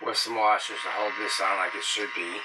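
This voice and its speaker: A man's voice: lowish voice, steady tone, fairly quick. A man casually narrating a hands-on radio repair in his workshop, muttering asides as he works. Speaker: radio repair video host. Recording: faint background noise, radio playing nearby. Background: old radio drama playing.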